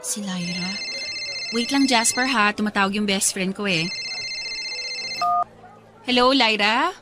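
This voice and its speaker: An actress playing a serious but fun young office worker in a radio drama, bantering in a conversational, mock-offended way. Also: female voice, mid-range voice, a little slow, lightly acted. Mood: soft, friendly. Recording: music and crowd chatter behind.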